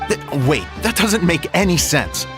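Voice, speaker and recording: A man's voice: a little high, very animated, measured pace. A man voicing a flustered, sceptical young bystander in a video game, stammering and incredulous, with music behind.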